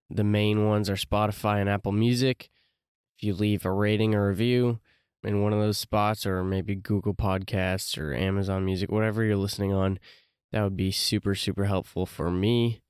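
A man's voice: on the low side, a little mellow, clear, resonant tone, dry recording, clean studio sound. A man hosting a casual solo podcast, talking loosely with many fillers and restarts, his voice a bit congested from allergies. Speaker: podcast host.

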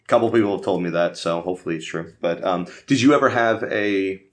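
A man's voice: deep voice, fast talker. A man interviewing a guest, asking his questions in a casual, conversational way.

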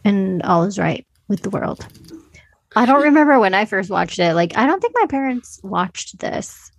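A woman's voice: mid-range voice, expressive, moderate pace, gravelly, no echo. A woman co-hosting a podcast, discussing films casually in an informal, hedging, conversational way. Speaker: podcast co-host.

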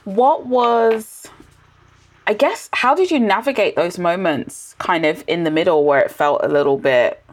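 A woman's voice: fairly low pitch, animated, unhurried. A woman hosting a podcast, asking curious, thoughtful questions. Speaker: podcast host. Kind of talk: casual interview chat.

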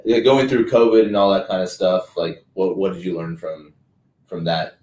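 A man with a low, deep voice talks shop like a coach, loose and full of fillers. He sounds set back from the mic in an echoey room. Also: fairly even intonation, moderate pace, a little mellow, recorded from a distance, a little hiss or hum. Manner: conversational, coaching, filler-heavy. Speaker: strength coach and host. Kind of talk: casual podcast chat.

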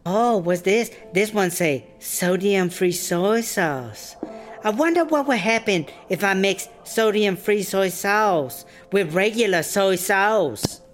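A woman's voice: medium pitch, animated, soft background music. A woman playing a tyrannical foreign boss who mocks and taunts in broken English, in a broad comedy audio drama. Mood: mock innocent, menacing. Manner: broken English, mocking, drawn-out.

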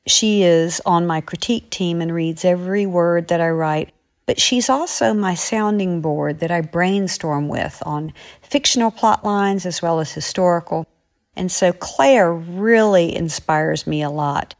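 A woman's voice: fairly low pitch, unhurried. A woman author speaking in a friendly way to young listeners about her work. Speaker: author, regular segment guest.

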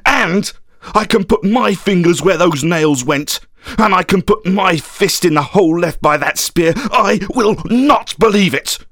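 A man's voice: high voice, wildly swooping pitch, measured pace, few pauses, no echo, clean studio sound, pitched above the performer's own voice, full character voice. A man voicing a grief-stricken, angry disciple who refuses to believe, in anguished outbursts, as part of a dramatic story. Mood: defiant anger. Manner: anguished, gasping, shouting outbursts.

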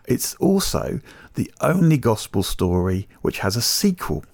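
A man co-hosting a podcast, explaining things conversationally in a measured way, sometimes posing rhetorical questions. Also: mid-range voice, big pitch swings, a little mellow, no echo. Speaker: podcast co-host.

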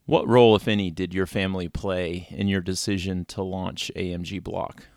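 A man's voice: low voice, fairly even intonation, moderate pace, a little mellow, no echo, studio quality. A man hosting a podcast, reading a scripted introduction and asking interview questions. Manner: smooth, read-aloud delivery. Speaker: podcast host.

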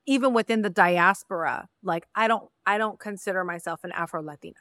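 A woman's voice: lowish voice, brisk, a bit bright, dry recording. A woman from Mexico hosting a podcast interview, speaking candidly and repeating her points for emphasis. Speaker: podcast host. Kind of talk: casual interview conversation.

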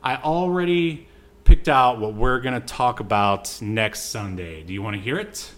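A man's voice: mid-range voice, normal speed, fairly bright tone. A man hosting a podcast in casual chat, with an easygoing, rambling way of talking. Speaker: podcast host.

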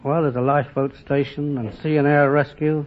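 An American man answering interview questions as a contestant, earnest, matter-of-fact and a little halting. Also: a little high, little pitch movement. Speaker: quiz show contestant, lighthouse keeper. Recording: muffled old-time radio, faint hiss.

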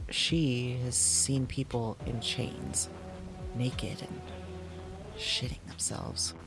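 An actress playing a sleepless, haunted woman who confides her dreams to an audio diary, halting and drowsy, sometimes slipping toward a whisper. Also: extremely low pitch, exaggerated voice. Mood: weary, intent. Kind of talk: intimate audio drama monologue as recorded diary.

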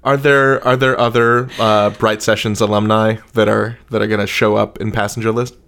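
A man's voice: on the low side, level tone, normal speed, creaky, fairly bright tone. A man interviewing guests in a casual, informal and appreciative way, like a fan.

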